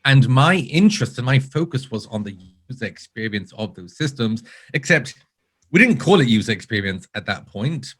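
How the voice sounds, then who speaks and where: A man's voice: mid-range voice, expressive, normal speed, husky. Man, British-sounding accent, from Israel, earnest, articulate, video call interview. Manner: articulate, earnest, builds points in lists. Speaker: podcast guest, user researcher. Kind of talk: casual interview talk.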